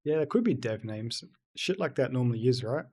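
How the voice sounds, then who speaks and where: A man's voice: medium pitch, expressive, fairly quick, a little mellow, a little hiss or hum. A man streaming a video game, chatting casually with viewers and reading their messages aloud. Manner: chatty, reads and answers chat aloud. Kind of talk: casual let's-play commentary.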